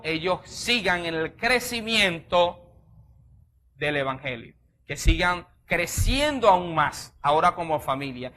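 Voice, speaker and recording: A man preaching with a bright, forceful voice that sits a little high. He is a pastor closing a sermon, leading the church through a fervent prayer. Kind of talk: sermon altar call and prayer.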